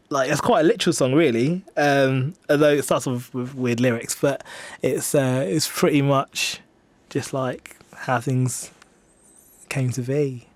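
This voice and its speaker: A man's voice: on the high side, dry recording. Hesitant young English man, dry close recording. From England. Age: 20s. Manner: self-deprecating, hesitant, rambling. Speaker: singer-songwriter being interviewed. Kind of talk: casual radio interview chat. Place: radio studio.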